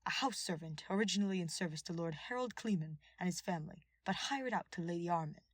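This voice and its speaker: A woman acts out a loyal royal retainer addressing his king in an audiobook. He is firm, formal and unflinching, in a husky voice recorded close and dry. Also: fairly low pitch, expressive, fast talker, no echo. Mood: firm, challenging. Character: loyal male royal vassal, usually quiet, firm and formal.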